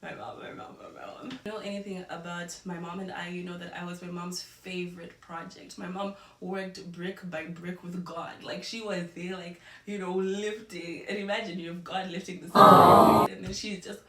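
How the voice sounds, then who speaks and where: A young woman from Zimbabwe talking casually in a get-to-know-me vlog, chatty and expressive, dropping in Shona and Ndebele phrases. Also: on the low side, moderate pace, few pauses, reverberant, far from the mic, slight background noise. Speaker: vlogger.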